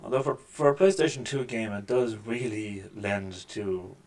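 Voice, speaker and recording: A man with a deep voice talks through a video game as he plays it. He keeps up a casual, wry running commentary into a desk microphone at home, cracking dry jokes about what he sees on screen. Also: a little slow, darkish tone. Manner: wry, joking asides over gameplay. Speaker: gaming channel host. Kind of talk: casual let's-play commentary. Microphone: desk microphone with mixer.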